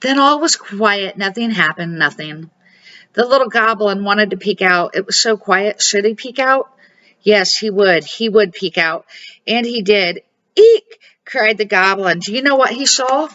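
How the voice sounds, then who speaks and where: Older woman reading a storybook, bright-toned. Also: medium pitch. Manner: homespun amateur read-aloud, dramatic pauses. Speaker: storybook reader and series host. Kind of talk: storybook narration for children. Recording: dull, muffled home recording.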